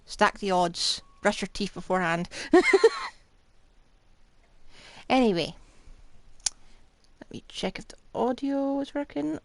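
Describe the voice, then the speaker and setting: A woman's voice: mid-range voice, expressive, unhurried, no echo. A woman streaming a video game, chatting casually with rambling, joking asides. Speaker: live streamer. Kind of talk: casual gaming livestream chat. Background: game music.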